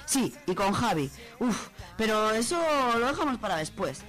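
A woman's voice: mid-range voice, sing-song, normal speed, fairly bright tone, faint music behind. A woman playing a slangy, practical young student in an amateur radio soap, with a Spanish accent. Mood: reassuring, worried. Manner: colloquial, slangy. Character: chatty young university student woman, practical loyal friend.

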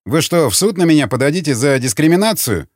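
A man's voice: a little high, animated, quick pace, no echo, clean studio sound, voice pushed up. A man voicing a sardonic, needling councilman in an audiobook, with a mocking and theatrical manner. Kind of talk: audiobook character acting.

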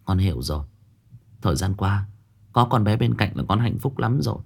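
A man's voice: fairly low pitch, expressive, normal speed, vocal fry, slightly rough, exaggerated voice. A man voicing a grieving young mother who speaks to an old fortune-teller in a respectful, pleading way. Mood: humble.

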